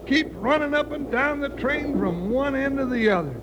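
An actor playing a grumbling but good-hearted cowboy sidekick in an old radio western, folksy and exasperated. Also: male voice, extremely high pitch, lively intonation, moderate pace. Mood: weary, fond. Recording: noisy old radio recording.

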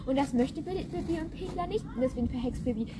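A woman's voice: sits high, lively intonation, quick pace. A female co-host chatting casually with a friend on a podcast, with a giggly manner. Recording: noisy outdoor recording in rain.

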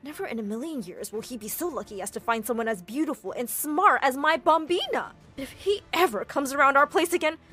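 A woman's voice: high-pitched, animated, brisk, few pauses, sharp tone, voice pushed up. Indignant mother played by a woman, fiercely protective, emphatic story dialogue over music. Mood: furious, indignant. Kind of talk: animated story narration with acted dialogue.

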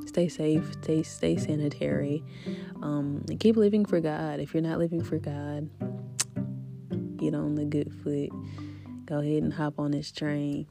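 A woman hosting a spiritual podcast in a casual, rambling way with a deep voice that is still recovering from an illness. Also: steady tone, a little slow, gravelly, over music. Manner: rambling, faith-filled, soft laughs. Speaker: podcast host.

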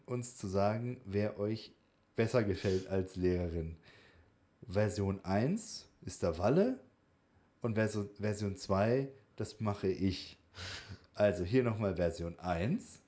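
A man's voice: fairly low pitch, mellow. A man co-hosting a podcast in casual, conversational talk. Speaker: podcast co-host.

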